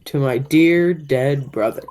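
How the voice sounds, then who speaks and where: A woman's voice: a bass voice, takes it slowly, heavy background noise. A young woman playing a menacing, murderous man in a radio play. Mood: commanding, menacing. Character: menacing murderous man back after twenty years away. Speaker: high school theatre actor.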